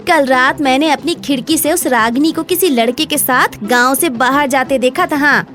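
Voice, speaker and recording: A woman's voice: sits high, moderate pace, a bit bright, faint music behind. A woman voicing a scheming, spiteful village eatery owner who scolds scornfully in a dramatized moral story. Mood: gloating, spiteful.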